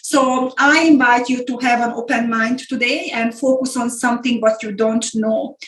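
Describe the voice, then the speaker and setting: A woman's voice: on the high side, fairly even intonation, normal speed, a bit bright. A middle-aged woman from Hungary with a Hungarian accent, presenting an online business masterclass in an earnest way and repeating words for emphasis. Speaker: business coach. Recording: echoey room.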